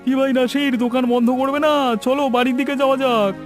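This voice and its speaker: A man's voice: extremely high pitch, level tone, fast, music in the background, pitched above the performer's own voice. A man voicing a cowardly, easily panicked village man in a cartoon. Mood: casual.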